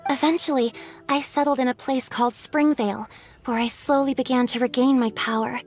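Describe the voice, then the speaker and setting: Casting: gentle young water fairy, high soft-spoken voice, polite and earnest, anime game, music underneath. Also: female voice, steady tone, brisk. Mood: wistful, confessional. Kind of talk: anime game character acting. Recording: music behind voice.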